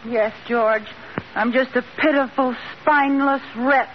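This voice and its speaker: A playful, mischievous wife in an old American radio comedy. Her voice is high and theatrical, with cheeky delivery. It comes through a dull recording with clear background noise. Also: steady tone, a little slow. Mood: mock contrite. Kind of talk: 1940s radio sitcom acting.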